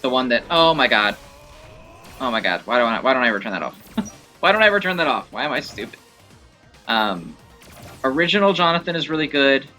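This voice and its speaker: A man with a bright, forward voice talks through gameplay casually and informally. His delivery is animated and rambling, at an ordinary male pitch. Music plays faintly behind him. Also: a little fast, pauses often. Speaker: gameplay showcase host. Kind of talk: casual game commentary.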